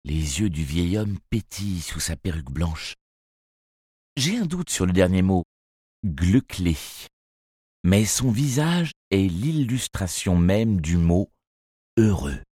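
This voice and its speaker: A man reading a children's novel aloud as first-person narrator, in a measured, expressive storytelling manner. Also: sits low, slightly rough, dry recording, clean studio sound. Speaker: audiobook narrator.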